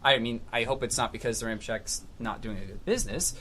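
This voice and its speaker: A young man hosting a casual podcast, rattling through his lines at a quick clip. His humor is dry and deadpan. His pitch sits mostly in the middle range. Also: husky. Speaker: podcast co-host.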